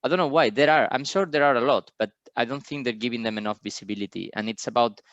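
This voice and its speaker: A man's voice: mid-range voice, quick pace, fairly bright tone, dry recording, muffled recording. A man from Spain, speaking passionately on a panel and firing off rhetorical questions. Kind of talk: online panel discussion.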